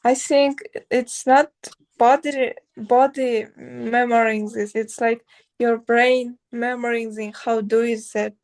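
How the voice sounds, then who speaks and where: A woman learning English, answering haltingly with short replies and simple sentences. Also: on the high side, little pitch movement, a little mellow. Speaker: English student.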